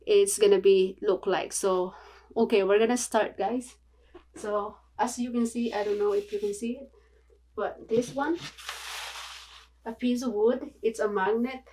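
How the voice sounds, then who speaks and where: A woman from the Philippines with a Filipino accent, chatting casually in a home video tutorial, halting and apologetic with many fillers. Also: medium pitch, a little mellow. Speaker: vlogger. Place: small home room.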